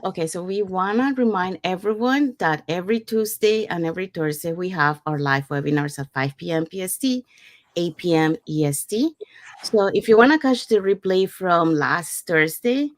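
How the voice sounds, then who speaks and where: A woman's voice: fairly low pitch, dry recording. Woman host from Panama, dry sound. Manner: welcoming, with frequent fillers. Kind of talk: friendly webinar hosting.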